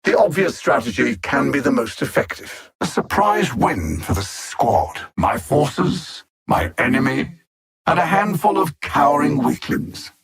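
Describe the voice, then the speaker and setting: An actor playing a condescending, coldly calculating alien supervillain in a video game, speaking with haughty, deliberate precision and theatrical mockery. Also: male voice, medium pitch, creaky, fairly bright tone, breathy edge, heavily acted. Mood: cold disdain. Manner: haughty, mocking, deliberate and precise, with grand dramatic swoops.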